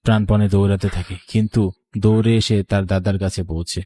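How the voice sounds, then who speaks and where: A man's voice: fairly low pitch, level tone, brisk, mellow, no echo, studio quality. A man giving scripted narration of a horror story.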